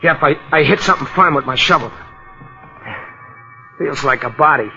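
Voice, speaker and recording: A dull vintage radio recording with an eager, simple cop's sidekick. His voice is forceful and very creaky, and he talks in folksy, excitable slang. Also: on the high side, normal speed, soft background music, exaggerated voice. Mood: puzzled, amazed. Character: simple, eager police sidekick, easily amazed. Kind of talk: old-time radio drama acting.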